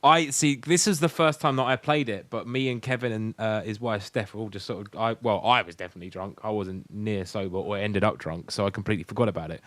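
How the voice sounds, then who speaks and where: A man's voice: on the low side, fast, hardly any pauses, fairly bright tone, no room sound. A British man co-hosting a podcast in casual, jokey, sweary banter that rambles along. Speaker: podcast co-host. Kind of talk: casual banter between friends.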